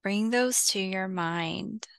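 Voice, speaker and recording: Female meditation guide, very slow and soothing, gentle fry in a smooth tone, close dry sound. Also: medium pitch, dry recording. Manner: soothing, unhurried, with long pauses between short phrases.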